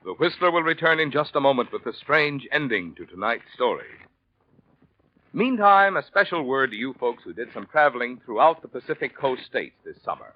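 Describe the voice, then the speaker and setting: A man's voice: medium pitch, sing-song, normal speed. A man announcing a 1940s radio commercial with polished, folksy salesmanship. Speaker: announcer and advertising pitchman. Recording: dull vintage 1940s radio recording.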